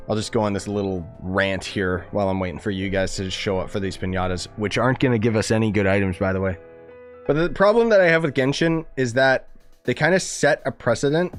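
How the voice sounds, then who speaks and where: A man live streaming a game with casual, rambling, slangy commentary, his voice a bit worn from coughing. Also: medium pitch. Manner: informal, rambling, gamer slang. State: losing voice, coughing.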